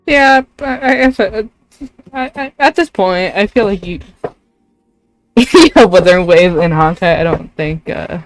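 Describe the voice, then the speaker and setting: A woman's voice: medium pitch, unhurried. A woman hosting a casual gaming stream, giggly and playfully dramatic as she chats. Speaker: livestream host. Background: background game music.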